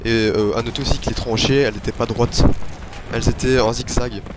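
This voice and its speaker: A man's voice: mid-range voice, steady tone, brisk, heavy background noise. A young man plainly explaining trench life, hesitating often with fillers. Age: teens or young adult. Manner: plain, hesitant, many "euh". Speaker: student presenter. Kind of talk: explanatory history presentation.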